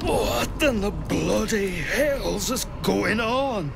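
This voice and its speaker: A man's voice: high-pitched, animated, extremely slow, gravelly, music in the background, heavily acted. An actor playing a doomed, panicking man in a video game, frantic and terrified of dying. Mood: alarmed disbelief. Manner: frantic, crying out.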